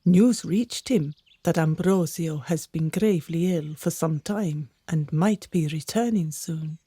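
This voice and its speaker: A woman playing a pious, gossiping household servant in a period radio drama, hushed and confiding. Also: low voice, a little slow, slightly rough, no echo.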